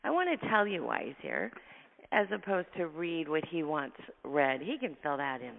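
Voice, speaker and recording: A woman with a husky, gravelly voice hosts a radio interview, talking fast and effusively and sounding travel-tired. She comes through a tinny phone line with a long reverb tail. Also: low voice, sing-song. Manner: fast, effusive talker, laughs often. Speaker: radio show host. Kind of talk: casual radio interview chat.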